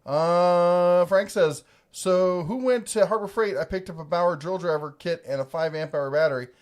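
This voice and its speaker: A man's voice: sits high, normal speed. Laid-back man co-hosting a livestream. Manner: laid-back, rambling, jokey. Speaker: livestream co-host. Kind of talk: casual livestream chat reading comments.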